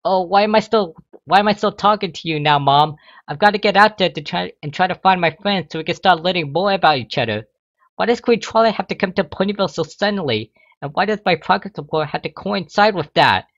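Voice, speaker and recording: A man's voice: high-pitched, brisk, fairly bright tone, no room sound, muffled recording, pitched above the performer's own voice. Man putting on an anxious, whiny young unicorn girl. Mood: frustrated. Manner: pleading, flustered, protesting. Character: anxious, whiny young female unicorn student, exasperated with her mother.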